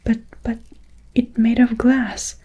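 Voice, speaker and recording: A woman voicing an excited, frightened old grandfather in a breathy whisper while reading a storybook. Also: fairly high pitch, slow. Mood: alarmed.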